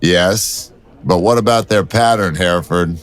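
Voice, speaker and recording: An actor playing a wry, persistent city detective who talks in dry, teasing banter. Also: male voice, sits low, unhurried.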